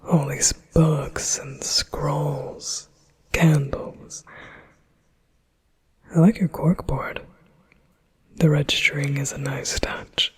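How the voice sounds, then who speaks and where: A possessive male demon murmurs close to the ear, voiced by a man in an ASMR roleplay. His whisper is heavily breathy and slow, with little rise or fall in pitch. He sounds silky, flattering and persuasive. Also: heavily acted.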